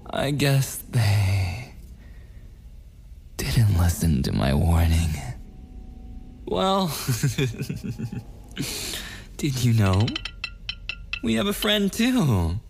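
A man acts out a possessive, murderous yandere boyfriend for an ASMR audio roleplay. He speaks very slowly, with lively swings of pitch, sliding between menace and whispers. A faint noise sits behind the voice. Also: vocal fry, heavily acted. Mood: coaxing, menacing. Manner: slow, drawn-out menace slipping into whispers and giggles.

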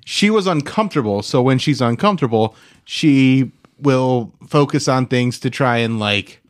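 A man's voice: mid-range voice, expressive, normal speed. A man co-hosting a podcast in casual, conversational chat. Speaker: podcast co-host.

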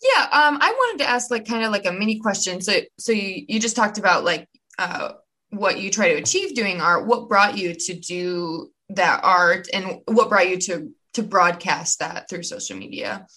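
A woman's voice: mid-range voice, animated, moderate pace, sharp tone. A woman co-hosting an interview, asking questions tentatively with many fillers. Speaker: co-host. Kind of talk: casual interview.

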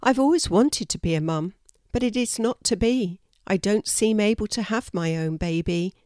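A woman voicing a kind female bush animal in a children's storybook, soft and reassuring. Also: mid-range voice, moderate pace, dry recording, studio quality, voice pushed up, close to an everyday voice. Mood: wistful.

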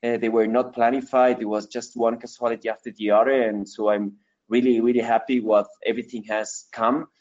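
Halting man from Ecuador, muffled sound. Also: mid-range voice, flat delivery, a little mellow. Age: 30s. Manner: halting, many fillers and restarts. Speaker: mountaineer guest. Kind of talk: interview answers.

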